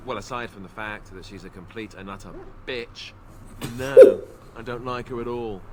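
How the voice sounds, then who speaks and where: A man's voice: on the low side, moderate pace, mellow, close to an everyday voice, low-quality recording. A man playing a sarcastic, dryly needling adventuring companion in a video game. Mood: sarcastic, nosy. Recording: game audio with clear background noise.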